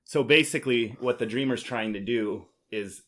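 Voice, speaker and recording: A man's voice: mid-range voice, moderate pace, fairly bright tone. A man hosting a casual board game podcast, explaining in a teasing, jokey way. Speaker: podcast host.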